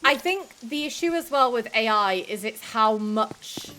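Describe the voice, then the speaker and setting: A woman's voice: fairly high pitch, expressive, measured pace, bright, forceful tone. A woman hosting a live stream, chatting casually with viewers, filling her talk with "like" and swearing freely. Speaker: livestream host.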